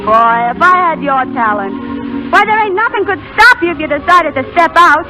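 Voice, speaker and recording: A nagging showgirl with a high voice, blunt slangy talk, old radio play w/ music behind. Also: sing-song, a little fast, poor audio. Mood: scolding, exasperated. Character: nagging, devoted, tough-talking burlesque showgirl, a woman. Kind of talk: 1930s radio acting. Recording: vintage 1930s radio broadcast, background music.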